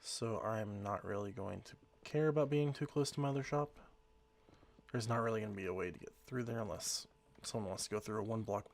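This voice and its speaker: Slightly hoarse gravelly man gaming. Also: fairly low pitch. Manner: rambling, easygoing, self-correcting. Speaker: let's play host. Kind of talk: casual gameplay commentary.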